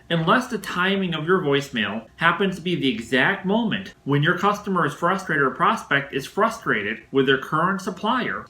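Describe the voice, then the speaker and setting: A man presenting a scripted instructional sales talk as a coach, in a didactic, emphatic way with measured phrasing. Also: fairly high pitch, bright, forceful tone, roomy sound, a little hiss or hum.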